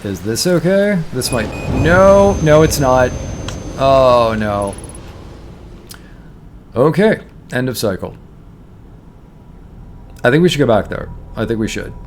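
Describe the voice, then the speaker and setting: A man's voice: mid-range voice, lively intonation, takes it slowly, pauses often, a little hiss or hum. A man from Toronto with a Canadian accent streams a game in casual chat, thinking aloud and correcting himself, his voice tired. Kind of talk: casual let's-play chat.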